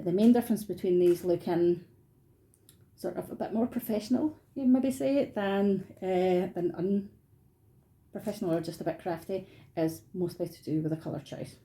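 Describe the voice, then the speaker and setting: A woman's voice: on the low side, gravelly, warm tone. Chatty Scottish woman teaching crafts. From Scotland. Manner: chatty, encouraging, informal. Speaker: art workshop tutor. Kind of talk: online craft tutorial. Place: dining room at home.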